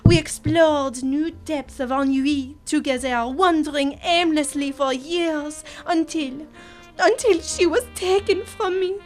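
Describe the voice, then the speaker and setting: A tragic, lovesick French secretary played by a young woman pours out her heart in a high voice at a slow pace. She is florid and grandly melodramatic, in comic radio serial style, with faint music underneath. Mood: anguished, indignant. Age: young adult. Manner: florid, theatrical storytelling with emotional outbursts. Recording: music behind the voice.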